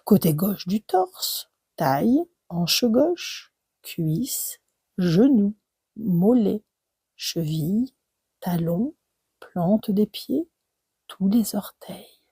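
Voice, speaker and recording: Woman, guided meditation, slow, mellow, soft near-whisper, soothing, short phrases with gentle pauses. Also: medium pitch, big pitch swings, creaky, breathy edge, dry recording. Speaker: yoga nidra relaxation guide.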